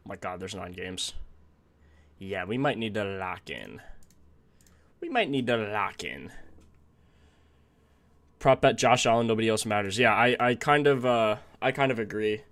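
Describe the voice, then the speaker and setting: A young man with a low voice, a touch raspy from a sore throat, hosts a relaxed live stream at his desk. He talks casually and thinks out loud, now and then leaning away from the mic. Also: unhurried, pauses often. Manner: chatty, thinks aloud, greets chat viewers. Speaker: sports betting stream host.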